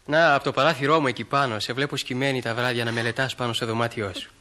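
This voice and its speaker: A man's voice: mid-range voice, fairly even intonation, noisy background, natural voice. A man playing an awkward, enthusiastic sixteen-year-old boy in a Greek radio play, hesitant and eager. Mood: shy, admiring.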